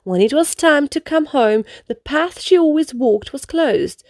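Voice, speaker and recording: Animated, high-voiced woman reading a storybook. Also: a little slow, no room sound, clean studio sound. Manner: expressive, instructive storytelling for children. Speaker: children's book reader. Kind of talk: read-aloud storybook narration.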